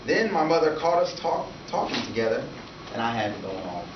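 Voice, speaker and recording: A man's voice: medium pitch, sing-song, fairly quick, close to an everyday voice. A man voicing a lonely, timid, polite young boy with simple childlike narration in a storybook read-aloud. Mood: quietly excited. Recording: echoey room from far, noisy, dull.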